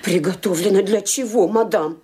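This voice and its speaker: A woman's voice: medium pitch, normal speed, warm tone, slightly rough. An actress playing a devoted, anxious lady's maid in a radio play, deferential and pleading. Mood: concerned.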